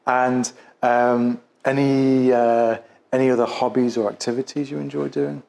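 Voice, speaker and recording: A man's voice: mid-range voice, level tone, measured pace, vocal fry, darkish tone. A man working as an osteopath and instructor, questioning and explaining in a hesitant way, often repeating and rephrasing his words. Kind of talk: case history questioning and explanatory teaching.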